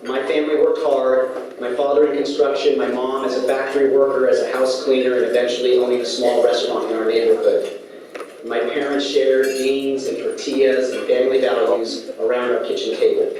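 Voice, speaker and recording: A middle-aged man whose first language is Spanish, giving a sincere, prepared speech as a guest speaker, with frequent "uh" pauses. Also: mid-range voice, level tone, a little mellow. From United States, California. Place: large banquet hall at a lunch event. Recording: echoey hall, distant, clattering dishes.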